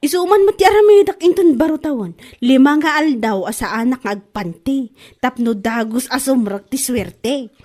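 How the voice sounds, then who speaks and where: A woman's voice: sits high, lively intonation, normal speed, warm tone. A woman with an Ilocano accent playing a carefree spendthrift wife who makes playful excuses in a comedy drama. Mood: nonchalant. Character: carefree spendthrift wife Anita, unbothered by scolding. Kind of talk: comedy radio drama acting.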